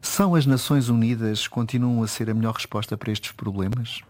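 A man, asking measured interview questions built on facts and figures. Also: medium pitch, fairly even intonation, darkish tone, dry recording.

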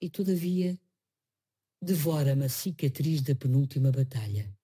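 Slow, dark-voiced woman reciting poetry. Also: extremely low pitch, animated, breathy edge. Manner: grave, measured delivery. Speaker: actress reading a poem.

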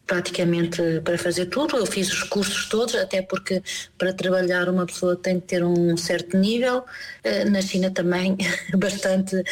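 A woman, speaking as an interviewee, hesitant with pauses and fillers. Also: medium pitch, a little mellow, a little hiss or hum. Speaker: interviewee, expatriate.

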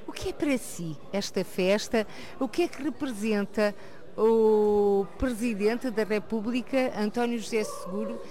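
Female interviewer with a mid-range voice; measured, direct questions. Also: medium pitch, darkish tone. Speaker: interviewer, journalist.

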